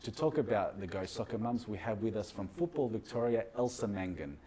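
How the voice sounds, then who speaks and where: A man's voice: on the low side, expressive, fairly quick, dark tone, slight background noise. A man hosting a radio show, reading scripted introductions. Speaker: radio host.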